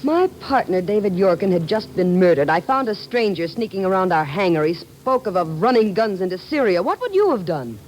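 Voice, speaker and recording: A woman's voice: mid-range voice, sing-song, fairly quick, few pauses, a little mellow, slight background noise, natural voice, low-quality recording. An actress playing a proud, indignant woman pilot in a 1950s radio drama, haughty and clipped. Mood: defensive.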